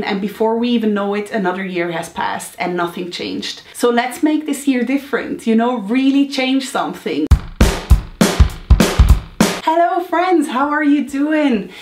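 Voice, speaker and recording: A woman in her thirties, a bit hoarse from a cold, giving an upbeat, encouraging motivational talk to her viewers. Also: on the high side, a little mellow, echoey room. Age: 30s. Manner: upbeat, encouraging, chatty asides. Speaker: lifestyle vlogger. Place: home room.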